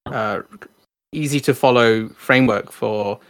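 A man's voice: medium pitch, measured pace, clear, resonant tone, dry recording. A man hosting a podcast interview, speaking casually with fillers and often affirming his guest. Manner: friendly, uses many fillers and affirming backchannels. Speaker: podcast host.

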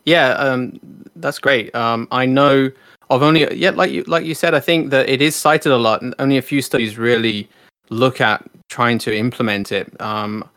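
A man's voice: mid-range voice, fairly even intonation, normal speed, fairly bright tone, no echo, studio quality. A man hosting a podcast interview, speaking casually with fillers and often affirming his guest. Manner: friendly, uses many fillers and affirming backchannels. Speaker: podcast host.

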